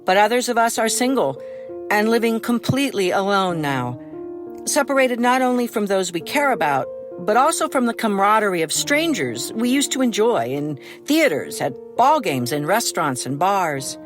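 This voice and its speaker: A woman reading a scripted introduction to an audio drama as its author, in a measured, earnest way. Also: mid-range voice, sing-song. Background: instrumental music.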